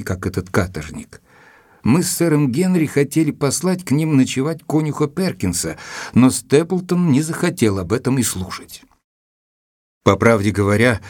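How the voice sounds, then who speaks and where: An actor playing an earnest, educated gentleman who narrates his letters in a measured, expressive way for an audio drama. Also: mid-range voice, no room sound, studio quality, natural voice. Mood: thoughtful, concerned. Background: music.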